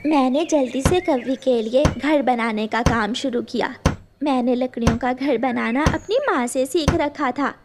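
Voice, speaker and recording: Woman as cartoon mother bird, high voice, tender, earnest, caring, noisy recording. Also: measured pace. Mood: earnest, recounting. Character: gentle mother sparrow, caring, earnest, storytelling to her daughter. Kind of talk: children's cartoon voice acting.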